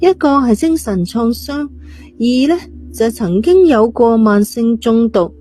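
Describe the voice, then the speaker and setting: A blunt, defiant teenage girl, voiced by a woman reader for an audio story. Her pitch sits fairly high and her delivery is offhand and detached. Faint music plays underneath. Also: animated, unhurried, warm tone. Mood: matter-of-fact.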